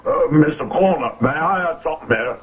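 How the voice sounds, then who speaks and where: An actor playing a meek, respectful station master in a radio mystery drama, with a husky voice. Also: male voice, on the high side, animated, brisk, crowd noise, natural voice. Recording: lo-fi old radio broadcast recording.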